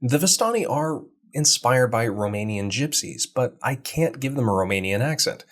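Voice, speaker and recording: A man's voice: mid-range voice, lively intonation, normal speed, a bit bright. An American man with an American accent, a game master hosting an explanatory talk on tabletop roleplaying. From United States. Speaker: game master and channel host.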